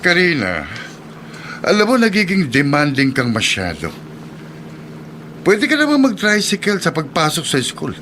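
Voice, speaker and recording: An actor playing an irritable, defensive husband, complaining and challenging in a radio drama. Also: male voice, on the high side, huge pitch swings, unhurried, pauses often, sharp tone, slight background noise, heavily acted. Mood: irritated, defensive.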